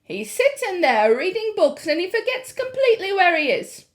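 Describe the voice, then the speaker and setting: A woman voicing a calm, loving elderly grandmother who speaks steadily and warmly. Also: extremely high pitch, moderate pace, voice pushed up. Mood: calmly polite. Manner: cool, steady, affectionate.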